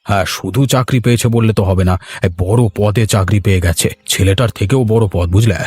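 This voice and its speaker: A man's voice: lowish voice, quick pace, darkish tone, breathy edge, no echo. A man voicing a frank, familiar older villager in a cartoon story. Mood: sober, regretful. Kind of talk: Bengali moral-story cartoon voice acting.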